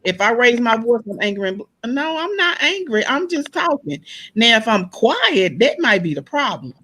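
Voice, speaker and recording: A woman with a loud speaking voice, talking animatedly in a casual group discussion, acting out the exchanges she retells. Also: a little high, moderate pace, slightly rough. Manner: animated storyteller, acts out quoted exchanges.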